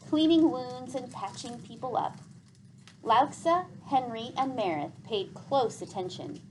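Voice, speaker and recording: Woman reading aloud expressively, lively pitch, unhurried. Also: slight background noise, rough recording. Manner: expressive, clearly enunciated teacherly delivery. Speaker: teacher reading aloud to pupils. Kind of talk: read-aloud storytelling with questions to pupils.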